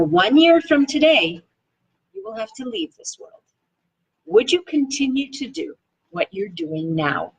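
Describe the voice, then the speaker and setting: Woman, husky edge, very animated pitch, enthusiastic read-aloud, chatty conversational asides. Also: poor audio. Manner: enthusiastic, expressive. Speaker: reader of a self-help book read-along.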